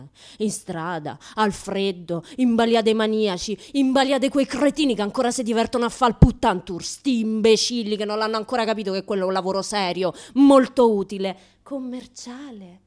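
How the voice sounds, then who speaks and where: A woman's voice: mid-range voice, moderate pace, natural voice. Actress as a defiant, chatty Roman woman. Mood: provocative, sardonic. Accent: Roman Italian. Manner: colloquial, rhetorical questions, swinging between hushed asides and loud outbursts. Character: self-styled naive young woman, defiant, chatty, indignant. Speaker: actress performing a monologue. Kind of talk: theatrical monologue for radio, colloquial and confessional.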